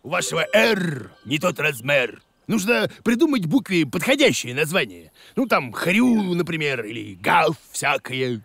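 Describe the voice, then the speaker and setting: A man voicing an excitable, bossy, bumbling cartoon creature in a blustering, theatrical way for a children's show. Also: a little high, unhurried, creaky, full character voice. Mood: confident, pompous. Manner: blustering, theatrical, prone to exclaiming. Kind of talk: animated children's cartoon acting.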